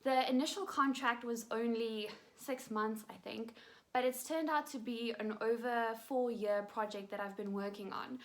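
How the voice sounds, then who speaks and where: A woman's voice: a little high, steady tone. A young South African woman in her late twenties, an actress telling her story in a casual, chatty way with lots of fillers. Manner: chatty, filler-heavy, quick bursts. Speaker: actress and vlogger.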